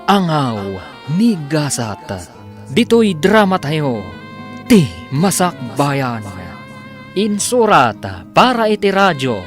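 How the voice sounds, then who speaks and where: A male announcer with an Ilocano accent presents a radio drama. He reads in a scripted broadcast style, with music behind his voice. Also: fairly high pitch.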